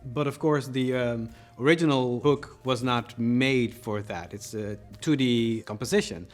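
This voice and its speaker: A man's voice: medium pitch, a little slow. A man casually explaining his work as a developer in an interview, informally thinking aloud. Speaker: creative developer. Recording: music behind the voice.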